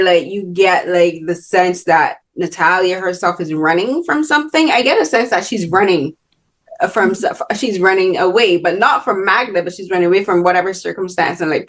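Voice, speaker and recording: Chatty Bajan woman hosting, slightly low voice. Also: moderate pace. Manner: rambling, many restarts and "like" fillers. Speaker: host. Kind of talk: casual interview chat.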